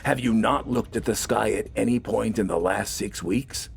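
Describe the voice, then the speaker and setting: A man voicing a gruff, scornful army corporal in a science fiction story, battle-weary and heavily ironic. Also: fairly low pitch, moderate pace, pitched above the performer's own voice. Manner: sardonic, heavily ironic, barking. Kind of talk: dramatized science fiction audiobook dialogue.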